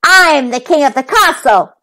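A woman's voice: high voice, animated, a little slow, pushed and bright, full character voice. Woman as a boastful, bossy bad egg king. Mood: boastful, taunting. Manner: boastful, taunting. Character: big bad bossy male egg, self-proclaimed king.

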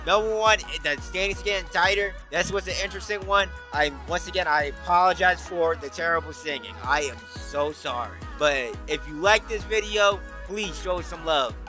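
A man's voice: sits high, normal speed, few pauses, pushed and bright, soft background music. A young man with a headset mic in his bedroom, giving hyped, playful commentary on his game and joking about his own singing. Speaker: gaming YouTuber. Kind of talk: casual gameplay commentary and outro. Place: bedroom at home, indoors.

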